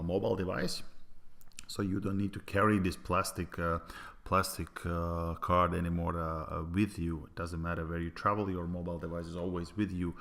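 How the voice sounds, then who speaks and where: Low-voiced man with a Latvian accent. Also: fairly bright tone. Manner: hesitant, many fillers and restarts. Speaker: presenter. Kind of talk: online business presentation.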